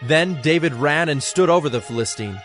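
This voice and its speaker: A man narrating a dramatized scripture story from a script, in a measured, solemn storytelling manner. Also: fairly high pitch, animated, a bit bright. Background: background music.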